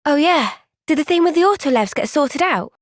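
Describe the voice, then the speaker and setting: A woman playing an earnest, thoughtful young soldier in a fantasy video game cutscene, speaking in a gentle, measured, reflective way. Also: sits high, expressive, no room sound, studio quality.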